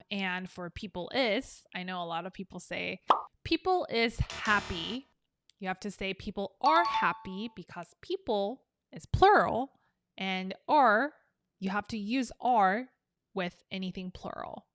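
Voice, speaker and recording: A woman's voice: medium pitch, slow pace, fairly bright tone, a little hiss or hum. A young woman from South Korea, teaching an English lesson in a chatty, upbeat way. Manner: chatty and upbeat, explaining with examples. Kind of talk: casual online English lesson.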